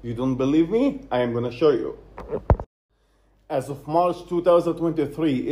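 A man from Israel with a mellow, relaxed tone speaks close to a phone camera indoors. He talks earnestly, hammering his point by repeating the same phrase again and again. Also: a little high. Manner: earnest, repetitive, emphatic. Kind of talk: direct-to-camera social video.

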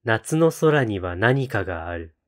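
A man reciting poetry in a solemn style, with measured, deliberate phrasing and pauses between lines. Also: on the low side, sing-song. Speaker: poetry reader on a read-aloud channel.

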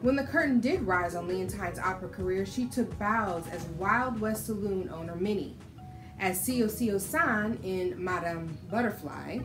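A woman's voice: medium pitch, measured pace. American woman reading to children over music. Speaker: children's storytime host and reader. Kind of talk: read-aloud storytelling for children.

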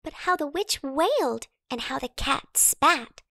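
A woman narrating a children's rhyming storybook with an expressive, dramatic storytelling delivery. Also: sits high, a little slow, no echo, clean studio sound. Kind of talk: expressive children's read-aloud in rhyme.

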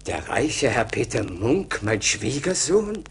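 A humble older woodcutter in a radio play, slow and halting with wide swings of pitch. He is earnest and poor, heard on a slightly noisy record. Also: very animated. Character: poor, humble woodcutter, devoted father of a daughter.

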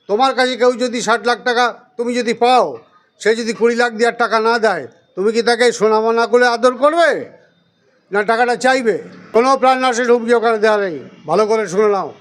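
A man's voice: very high voice, moderate pace, fairly bright tone. A man, speaking emphatically and defensively as a local politician in a news interview, often using rhetorical questions. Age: 60s. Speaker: local politician answering a reporter. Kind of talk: news interview statement, rebutting accusations.

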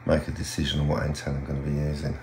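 Very deep, gravelly older man. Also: monotone, rapid-fire, darkish tone. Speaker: radio hobbyist vlogger. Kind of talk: casual hobby vlog commentary. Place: small home radio room, indoors. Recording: roomy sound with faint hum.

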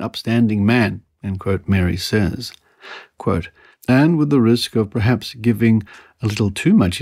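A man hosting a podcast, reading articles aloud and commenting in a measured, wry manner. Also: medium pitch, darkish tone, dry recording, clean studio sound. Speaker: podcast host.

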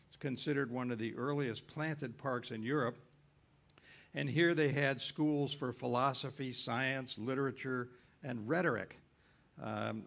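A man's voice: medium pitch, vocal fry. A man giving an informal travel lecture on a cruise ship, folksy and rambling, with frequent uhs and self-corrections.

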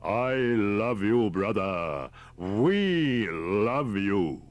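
Man acting a menacing, fervent cult leader, theatrically pitched up. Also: very animated, drawn-out words, no room sound, highs cut off, full character voice. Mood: booming zeal. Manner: booming, fervent, preacher-like exhortation. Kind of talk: dramatized audiobook character voice.

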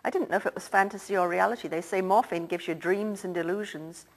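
A woman's voice: lowish voice, fairly even intonation, fast. A woman reading the part of an older, wealthy Irish-born theatre man who talks in a confiding, lilting way. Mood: somber. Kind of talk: audiobook character reading.

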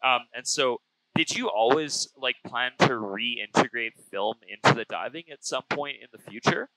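A man's voice: medium pitch, expressive, normal speed, gravelly, sharp tone, no room sound, rough recording. A man around thirty hosting a casual, conversational interview, speaking into an aviation headset mic. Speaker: interview host, pilot. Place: small plane cockpit in flight. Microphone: aviation headset boom. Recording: close aircraft headset intercom.

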